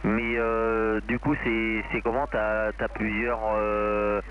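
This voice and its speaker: A man's voice: lowish voice, monotone, takes it slowly. A man from France chatting casually and hesitantly as an amateur radio operator, rambling through his thoughts. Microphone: amateur radio link. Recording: dull, noisy amateur radio transmission.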